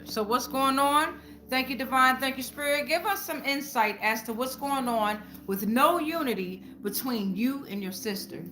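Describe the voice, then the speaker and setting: Bright, high-voiced woman reading tarot live. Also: a little hiss or hum. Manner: informal, repetitive phrasing with frequent check-ins like okay and right. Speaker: tarot reader and live stream host. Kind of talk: live tarot reading, casual address to viewers.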